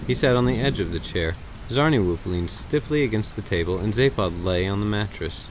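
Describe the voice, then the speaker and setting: A man's voice: on the low side, expressive, fairly quick, slight background noise, poor audio. A man narrating a story in a scripted audiobook style.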